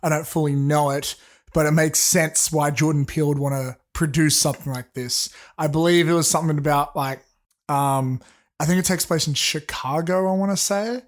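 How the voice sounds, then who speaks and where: A man's voice: fairly high pitch, fairly even intonation, normal speed. A man with a sore throat, co-hosting a casual podcast and talking in a rambling, hesitant way with filler words. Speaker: podcast co-host. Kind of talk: casual chat.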